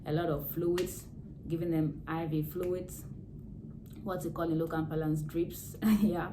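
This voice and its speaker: Hoarse nurse lady vlogging, low voice, says things twice, noisy home recording. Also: flat delivery, measured pace. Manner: plain explaining, repeats key words. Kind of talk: casual health advice vlog.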